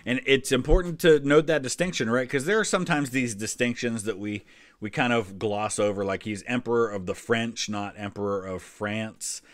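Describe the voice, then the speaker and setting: A man's voice: mid-range voice, fairly even intonation, moderate pace, dry recording. An American man in his forties giving casual, explanatory reaction commentary with offhand asides. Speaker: history channel host reacting to a quiz video.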